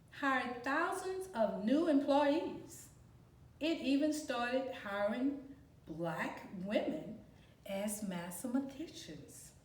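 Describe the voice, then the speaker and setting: A woman reading a children's picture book aloud in a deliberate, explanatory, teacherly way, as if to young students. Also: on the high side. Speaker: volunteer storybook reader. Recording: roomy echo, distant microphone.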